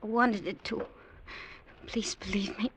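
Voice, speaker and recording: An actress playing a desperate, pleading femme fatale in an old radio drama, breathless and melodramatic. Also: female voice, mid-range voice, fairly even intonation, unhurried, lightly acted. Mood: weak, pleading. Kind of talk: 1940s radio drama acting. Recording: noisy old radio recording with clicks.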